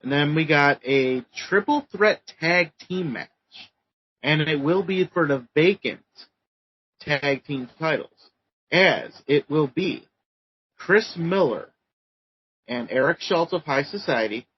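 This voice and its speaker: Podcast co-host, slow rambling recap, slightly high voice, lo-fi sound. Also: male voice, expressive, husky, no room sound. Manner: rambling recap with many ums. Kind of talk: casual chat.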